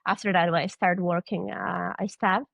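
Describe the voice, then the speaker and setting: A woman's voice: on the low side, steady tone, moderate pace, gravelly, a bit bright, no echo. Woman, young adult, Polish accent, Polish native, hesitant, word-searching, dry recording. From Poland. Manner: hesitant, searching for words, frequent restarts and light laughs. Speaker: podcast guest, triathlete. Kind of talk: casual interview.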